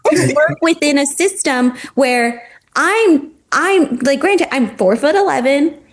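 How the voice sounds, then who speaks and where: A woman's voice: sits high, a little slow. A woman who is a former teacher, speaking passionately and with animation as a podcast guest, using "like" often. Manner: passionate, animated, heavy use of "like". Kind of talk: casual podcast conversation.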